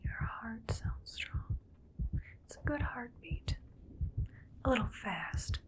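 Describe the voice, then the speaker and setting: Female mad scientist for ASMR roleplay, acted by a woman: slow whisper, cold, detached, clinical muttering. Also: on the high side, animated. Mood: clinical, appraising.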